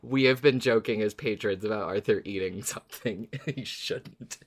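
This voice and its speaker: Podcast host, mid-range voice, casual discussion style, earnest delivery that pauses and restarts while thinking aloud. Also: mid-range voice, breathy edge. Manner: halting, searching for words, earnest.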